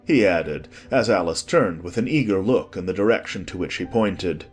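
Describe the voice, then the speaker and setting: A man's voice: low voice, animated, normal speed, husky, soft background music. A man reading scripted storybook narration as the narrator of a dramatized audiobook.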